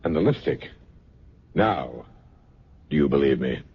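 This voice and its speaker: Faint noise and a dull old radio sound frame a protective, haunted husband. His low voice moves expressively, staying earnest and soothing in a radio drama style. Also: animated, measured pace, creaky, exaggerated voice. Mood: grave recounting. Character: patient, protective husband and stepfather, quietly haunted.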